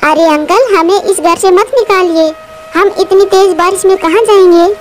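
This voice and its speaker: A woman's voice: very high-pitched, moderate pace, background noise, voice pushed up. A woman voicing a worried, loving mother bird in a cartoon, pleading and tender.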